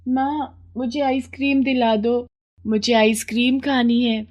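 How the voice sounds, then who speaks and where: An innocent six-year-old girl, acted out by a woman in a storybook tale. The voice is pitched high and pleads in a plaintive, childlike way. The sound is a little muffled. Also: steady tone, measured pace, a little mellow, close to an everyday voice. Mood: pleading.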